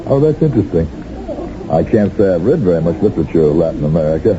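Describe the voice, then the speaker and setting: An actor playing a haunted former secret police colonel reborn as a gentle professor, speaking in halting, deliberate phrases in a radio drama. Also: male voice, deep voice, very animated, noisy background. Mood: polite, apologetic. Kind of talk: 1970s radio drama acting with narration. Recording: dull 1970s radio recording.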